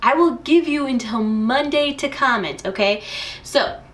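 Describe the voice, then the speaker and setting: A woman's voice: sits high, measured pace, fairly bright tone. A young woman hosting a casual vlog show, talking to camera with bubbly enthusiasm. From United States. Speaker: host.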